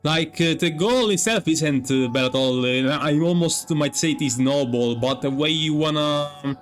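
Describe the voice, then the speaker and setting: A man giving casual, thoughtful commentary over a game story, speaking haltingly as he works out his view. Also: fairly high pitch, faint music behind. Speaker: game streamer.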